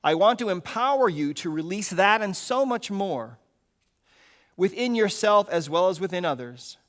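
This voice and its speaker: A man reading the part of God in a story as a gentle, consoling, patient father, measured and reassuring. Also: high-pitched, lively intonation, voice pushed up.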